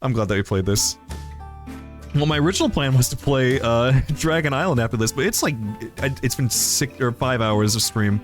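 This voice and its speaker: A man's voice: medium pitch, lively intonation, normal speed, soft background music. A man hosting a livestream, reading a visual novel aloud and chatting casually about it. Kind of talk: narration and casual livestream chat.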